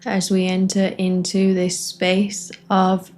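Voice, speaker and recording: A woman facilitating a guided meditation, offering gentle invitations in short phrases with long pauses between them. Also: mid-range voice, completely flat delivery, a little hiss or hum. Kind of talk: guided meditation, spoken softly to listeners.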